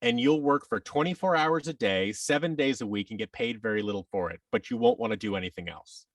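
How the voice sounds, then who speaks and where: A man in his thirties speaks in casual interview conversation with a lively, swinging pitch and some gravelly fry. He rambles and gives firm opinions, a little way off the mic in a dry space. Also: fairly quick, no echo, distant mic. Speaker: podcast guest, audio drama producer and actor.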